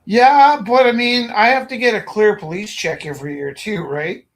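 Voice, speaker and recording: High-voiced man telling stories. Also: normal speed. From Alberta, Canada. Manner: rambling, animated anecdotes with acted quotes. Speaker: art gallery owner and teacher. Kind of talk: casual storytelling chat.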